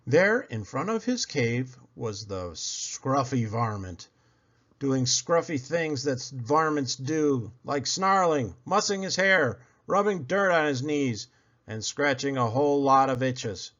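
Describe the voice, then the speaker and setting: A man narrating a children's book aloud as an expressive storyteller. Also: medium pitch, slow pace, dull audio. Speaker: storyteller, read-aloud host.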